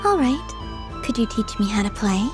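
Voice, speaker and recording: A woman playing a gentle, serene young woman in a video game, with a calm, measured manner. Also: on the high side, loud music behind the voice. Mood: curious. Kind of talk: video game cutscene acting.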